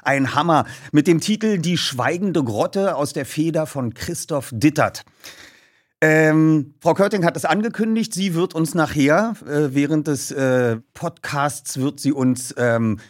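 A man's voice: a little high, lively intonation, measured pace, dry recording, studio quality. A man hosting a podcast and reading sponsor messages in a casual way. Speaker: podcast host.

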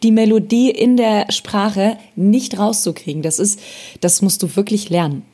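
Husky woman from Baden, loose chat. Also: mid-range voice, dark tone. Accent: Baden German. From Baden, Germany. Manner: quick reactions, loose rambling sentences. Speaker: podcast co-host. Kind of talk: casual podcast chat.

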